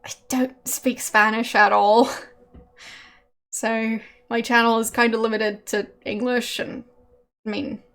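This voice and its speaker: A woman's voice: fairly high pitch, fairly bright tone. A woman whose first language is German, chatting casually with viewers while she streams, hesitant and full of fillers and small laughs.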